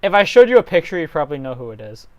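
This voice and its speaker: Smooth, clear voice in casual chat. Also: mid-range voice, big pitch swings, rapid-fire, a little mellow, dull audio. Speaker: co-host organizing a dramatic story reading.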